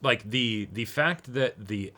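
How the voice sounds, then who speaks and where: A man's voice: medium pitch, slow pace, pushed and bright. A man co-hosting a casual discussion, talking enthusiastically in long sentences and often checking in with his listener. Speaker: co-host of anime reaction and discussion show.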